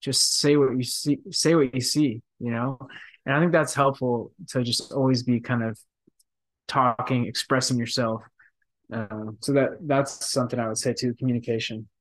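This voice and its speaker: Soft-spoken thoughtful man. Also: medium pitch, steady tone, moderate pace, low-quality recording. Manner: soft-spoken, thoughtful, many fillers and restarts. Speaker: professional soccer midfielder. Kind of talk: casual interview.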